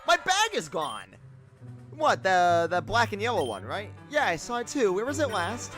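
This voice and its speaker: Hot-headed schoolboy played by a man, blunt, animated, forceful, visual novel read. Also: high voice, moderate pace, soft background music, pitched above the performer's own voice, exaggerated voice. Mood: panicked, angry. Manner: blunt, exclamatory, slangy. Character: hot-headed impulsive teenage male student, visual novel.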